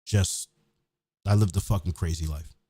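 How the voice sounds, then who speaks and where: A man's voice: low voice, fairly even intonation, moderate pace, warm tone, husky, no echo, studio quality. A man hosting his own show, giving a blunt, profane, emphatic opinionated monologue in a casual ranting style.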